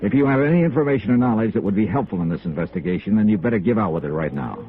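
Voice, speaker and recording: A man's voice: on the low side, expressive, very fast, soft background music, close to an everyday voice. An American man acting a tough, persistent insurance investigator in a 1950s radio drama, with a clipped, insistent delivery. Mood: confrontational. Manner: clipped, insistent, hard-boiled. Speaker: actor in the lead, also narrating. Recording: tinny, noisy old radio recording.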